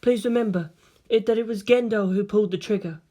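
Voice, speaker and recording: A narrator voicing an eerily calm, formal teenage girl in a story reading, with measured and devoted delivery. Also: medium pitch, voice pushed up, natural voice. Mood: serene, detached.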